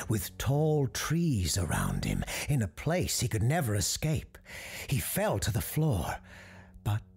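Casting: storyteller for spooky fiction, low creaky voice with varied intonation. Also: a little mellow. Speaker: storyteller, show host character. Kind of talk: scripted spooky story narration.

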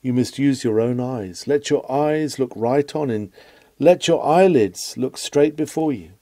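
A man reading an old sermon aloud in an earnest, emphatic, oratorical manner, with measured phrasing. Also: mid-range voice, mellow, no echo, clean studio sound. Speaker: reader of a historic sermon.

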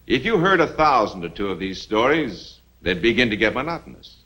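An American man delivering emphatic, rhetorical narration for a 1950s military film, with dramatic pauses. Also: on the low side, big pitch swings, pushed and bright. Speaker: narrator and presenter.

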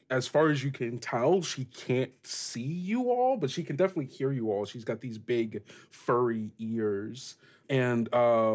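A man hosting and narrating a tabletop podcast as game master, conversational and descriptive. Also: mid-range voice.

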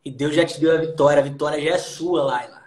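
A man's voice: on the high side, steady tone, fast talker, darkish tone, roomy sound. A young man preaching fervently on a live stream from a small room, slipping into song, filmed on a phone at arm's length. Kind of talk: preaching and singing on a live video call. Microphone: phone camera at arm's length.

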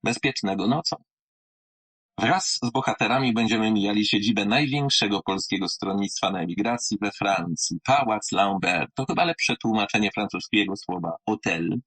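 A man reading and presenting literature in a theatrical, wry manner, with deliberate pauses and a husky voice. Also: on the low side, a bit bright.

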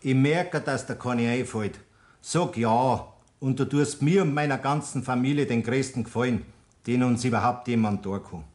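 A man's voice: mid-range voice, normal speed, darkish tone, lightly acted. An older man with a Bavarian accent playing a persistent grandfather near retirement in a 1960s German radio play, drawling and coaxing. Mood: insistent.

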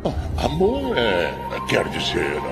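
A man voicing a gentle, thoughtful, fatherly friend in a cartoon dub, with a Brazilian accent. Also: fairly low pitch, wildly swooping pitch, takes it slowly, creaky, over music, exaggerated voice. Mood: puzzled, pondering. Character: gentle, thoughtful male friend, slow to answer, fatherly advisor. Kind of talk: animated cartoon dub acting.

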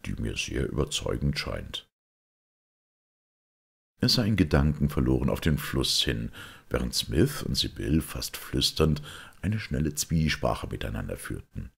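Extremely deep, gravelly male audiobook reader. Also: big pitch swings, normal speed. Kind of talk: scripted literary narration.

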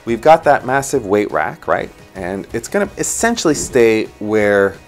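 A man in his thirties with a lowish voice explains a room layout in a home office, his pitch rising and falling freely. He talks close to a lapel mic, and soft music plays underneath. His manner is animated and hands-on. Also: unhurried. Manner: animated, gestures while explaining. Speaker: interior designer host. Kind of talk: design walkthrough explaining a floor plan.

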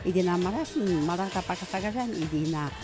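A woman's voice: fairly low pitch, animated, fast, over music. A middle-aged woman, a native Pinuyumayan speaker, reading scripted news as a presenter, with small fillers between phrases.

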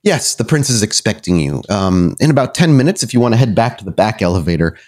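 A man's voice: lowish voice, sing-song, quick pace, a little mellow. Man acting a polite young male receptionist. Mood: politely professional. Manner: courteous, formulaic.

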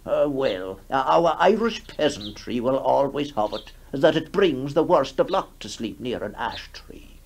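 Male actor as courteous bishop, formal, hesitant, slow, 1960s radio horror drama. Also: mid-range voice, big pitch swings, vocal fry. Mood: courteous, cautious. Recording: noisy 1960s radio broadcast.

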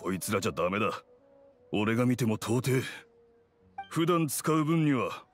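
A gruff, no-nonsense blacksmith from a video game, acted by a man. He is proud of his craft and speaks bluntly, with a lively swing in pitch, over music. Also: natural voice. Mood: matter-of-fact. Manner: blunt, measured, rough-spoken. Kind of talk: Japanese video game voice acting. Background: background music.

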